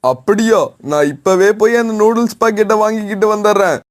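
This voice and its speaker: Man acting male pigeon shopkeeper, high voice, mild, earnest, children's cartoon. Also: brisk, natural voice. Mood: earnest, concerned.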